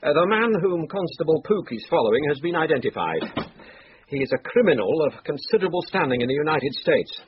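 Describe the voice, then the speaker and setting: An actor playing a dry, formal, deferential English police inspector with a husky voice in a radio drama. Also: male voice, a little high, very animated, slight background noise. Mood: dry, informative. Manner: formal, measured, dryly precise. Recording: dull lo-fi radio recording.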